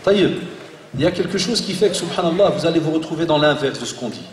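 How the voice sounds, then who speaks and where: A man in his forties, born in Belgium, giving a lively religious talk to an audience and joking with the crowd. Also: fairly high pitch, quick pace. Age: 40s. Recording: echoey hall, voice from far off.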